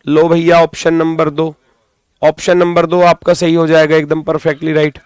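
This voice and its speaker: Male coaching teacher, higher-pitched, recovering throat, dry close sound, informal and directive, repeats key phrases. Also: monotone, normal speed, a little mellow, clean tone, dry recording. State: strained throat, recovering from lost voice. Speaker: reasoning teacher for exam coaching. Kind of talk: live class lesson, thinking aloud.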